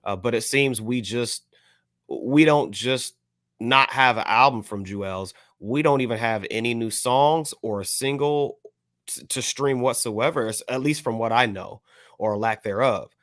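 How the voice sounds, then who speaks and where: A man's voice: mid-range voice, unhurried, a bit bright, no room sound, studio quality. A man on a podcast panel giving opinions in casual discussion, picking his words carefully with hesitant phrasing.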